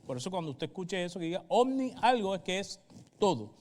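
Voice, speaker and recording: A man with a high voice and a Puerto Rican accent delivers a sermon as a pastor. He teaches step by step, stopping for brief check-in questions. The sound carries the long reverb of a hall. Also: big pitch swings, fairly quick, darkish tone. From Puerto Rico. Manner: didactic, checks in with short questions.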